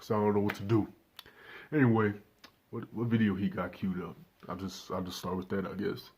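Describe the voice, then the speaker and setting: A man's voice: on the low side, little pitch movement, a little fast, a little mellow, slight background noise. A nineteen-year-old man doing casual reaction commentary, laid-back and swearing freely, talking straight to his viewers without a proper microphone. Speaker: reaction channel host.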